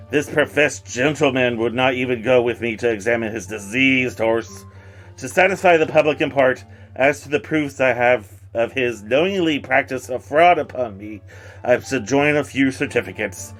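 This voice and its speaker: An affronted gentleman of the nineteenth century, played by a man, defends his honour in a formal, pompous reading. His voice sits at a medium pitch, with faint music behind him. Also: natural voice. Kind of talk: dramatic reading of old newspaper notices.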